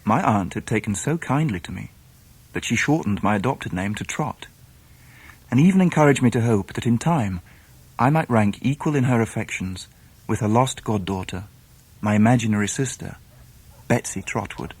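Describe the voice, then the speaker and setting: A man playing a grown Englishman gently recalling his boyhood, in measured literary radio drama narration. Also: lowish voice, animated, husky, low-quality recording. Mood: reflective. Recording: music under the voice. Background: instrumental music.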